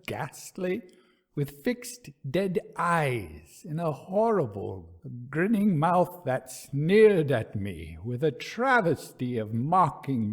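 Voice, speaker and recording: A man narrating a gothic ghost story in a theatrical, suspenseful, measured manner. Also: medium pitch.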